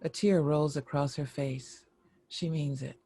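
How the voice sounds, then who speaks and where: A man reading scripted screenplay stage directions in a measured, descriptive narration. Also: a little high, fairly even intonation, mellow, slightly rough.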